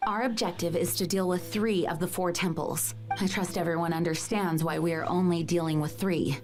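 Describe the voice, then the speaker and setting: A woman's voice: lowish voice, fairly even intonation, moderate pace, close to an everyday voice. A woman voicing an earnest, dutiful knight commander in a video game, formal and resolute. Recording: game music behind the voice.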